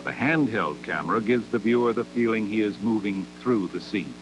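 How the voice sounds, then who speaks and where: A man narrates a scripted 1960s educational film in a measured, didactic manner, with deliberate phrasing, on an old 16mm soundtrack. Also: fairly low pitch, music in the background.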